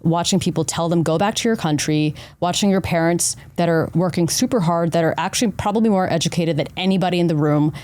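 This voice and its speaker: A woman hosts a podcast and tells her story earnestly, often checking in with "right?" Her voice is very low and warm. The sound is dry and close. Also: quick pace, hardly any pauses, no room sound. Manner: earnest, animated storytelling with many "right?" tags. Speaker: podcast host. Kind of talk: casual podcast conversation.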